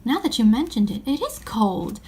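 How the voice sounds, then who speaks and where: A woman's voice: fairly high pitch, very animated, normal speed, darkish tone. A woman voicing an earnest, fair-minded eldest-brother schoolboy in a children's story, with emphatic old-fashioned phrasing. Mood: exclaiming.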